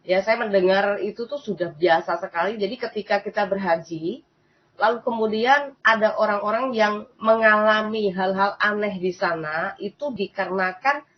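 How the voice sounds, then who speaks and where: A woman's voice: medium pitch, moderate pace. Chatty woman commenting to listeners. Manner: chatty, repetitive, addresses listeners often. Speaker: host. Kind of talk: casual commentary. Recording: dull lo-fi sound.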